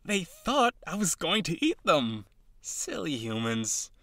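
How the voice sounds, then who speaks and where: A man acting as an eager, awkward, gentle male harpy in an audio roleplay, speaking straight to the listener with hesitant, dramatic delivery. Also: fairly high pitch, huge pitch swings, takes it slowly, no room sound, heavily acted. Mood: apologetic, rambling. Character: eager, nervous, awkward young male harpy courting a human.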